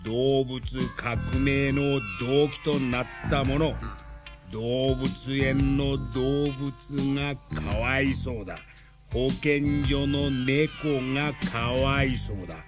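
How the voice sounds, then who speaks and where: An actor playing a reflective, rough-spoken man, fond and easily flustered, narrating in a radio drama. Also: medium pitch, fairly even intonation, over music, lightly acted. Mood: musing, tender. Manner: slow, musing, casual masculine speech.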